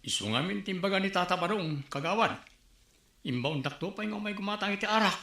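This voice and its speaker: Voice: mid-range voice, animated, a little fast, bright, forceful tone, roomy sound. An actor playing a chatty neighbor who teases the others in a comedic drama. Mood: teasing. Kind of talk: comedic radio drama acting.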